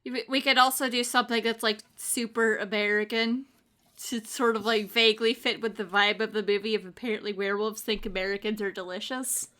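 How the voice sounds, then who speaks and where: A woman's voice: medium pitch, fairly even intonation, fairly quick, pushed and bright. A woman talking casually as a player in a tabletop roleplaying game. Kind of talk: casual character introduction and banter.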